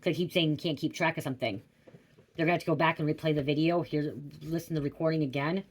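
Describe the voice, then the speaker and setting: A woman's voice: deep voice, steady tone, quick pace. A middle-aged woman giving a rambling psychic reading, drifting off track, swearing freely and asking "okay?" over and over. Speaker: psychic tarot reader. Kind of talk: rambling casual livestream reading.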